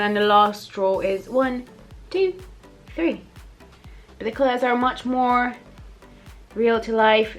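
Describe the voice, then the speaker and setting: A young woman with a slightly croaky voice after the flu, chatting casually through a makeup tutorial and often correcting herself. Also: fairly high pitch. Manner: chatty, self-correcting, giggly asides. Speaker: beauty vlogger.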